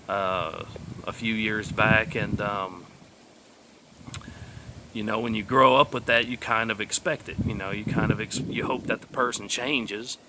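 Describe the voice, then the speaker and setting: A man's voice: lowish voice, background noise. A man in his late thirties with a Texas accent, telling his faith testimony in a rambling, plainspoken way, full of fillers, recorded outdoors. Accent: Texan. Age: 30s. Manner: rambling, plainspoken, many fillers and trailing asides. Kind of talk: casual personal testimony. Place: outdoors on a sunny day.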